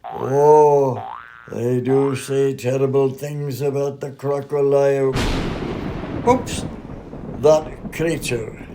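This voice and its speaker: Eccentric Scottish farmer, gravelly, folksy, gruff, comedy radio play, faint noise. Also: male voice, mid-range voice, steady tone, slow. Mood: alarmed. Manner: folksy, dialect-laden, matter-of-fact about absurd things. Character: eccentric old-fashioned Scottish farmer, touchy, gruff host.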